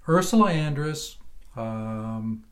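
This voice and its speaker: A man's voice: medium pitch, lively intonation, takes it slowly. Man hosting a casual interview podcast. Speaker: podcast host interviewing a guest.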